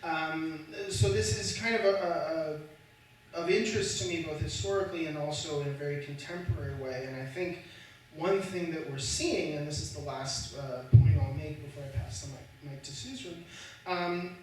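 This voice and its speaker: A man's voice: a little high, moderate pace, slight background noise. Man in an echoey lecture room. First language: Slovak spoken at home as a child. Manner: hesitant, many fillers and restarts, dry humor. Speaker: guest speaker, historian. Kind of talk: academic panel talk. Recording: echoey room, far from the mic.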